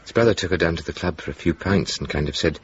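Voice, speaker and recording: A man's voice: low-pitched, fast, slightly rough. A man playing an earnest, hesitant young RAF officer in a radio drama, speaking in a sincere, understated way. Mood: amused storytelling. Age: young adult. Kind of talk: naturalistic radio drama dialogue. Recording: dull, hissy 1980s radio broadcast.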